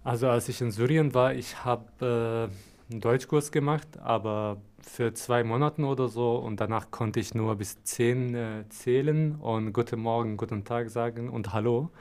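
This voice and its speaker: A man's voice: medium pitch, fairly even intonation, normal speed, darkish tone. Friendly man in his twenties. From Syria. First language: Arabic. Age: 20s. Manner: friendly, thoughtful, slightly non-native phrasing. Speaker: interview guest. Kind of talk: casual interview answers.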